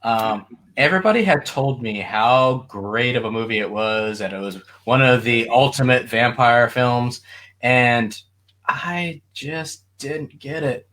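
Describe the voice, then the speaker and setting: Man, podcast panelist, agreeable, bright, forceful tone. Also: medium pitch, unhurried. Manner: agreeable, frequent affirmations. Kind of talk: casual chat.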